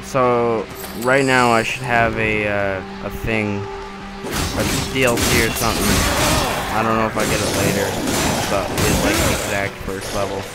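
A man with a nasal voice and a cold, commenting casually and excitedly on his gameplay, swearing often. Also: fairly low pitch, unhurried. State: has a cold. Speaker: gamer. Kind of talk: casual let's play commentary. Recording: loud game music behind.

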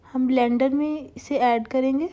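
A young woman hosting a home cooking channel, calmly talking viewers through a recipe step by step and mixing English cooking terms into her speech. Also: high voice, a little slow. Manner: calm, instructive, mixes English cooking terms into Hindustani speech.

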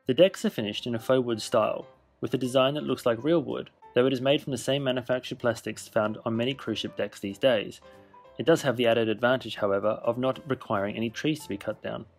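A man narrating a scripted cruise ship tour in a measured, informative way. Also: medium pitch, faint music behind. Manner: measured, informative, list-style delivery.

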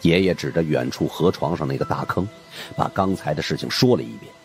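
A man narrating a supernatural mystery audiobook as an animated storyteller. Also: extremely low pitch, fairly quick, faint music behind.

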